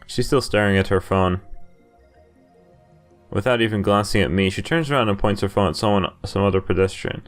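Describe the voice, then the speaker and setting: A man's voice: lowish voice, fairly quick, faint music behind. A man hosting a game playthrough, reading visual novel narration aloud and breaking off for casual commentary. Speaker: video game playthrough host.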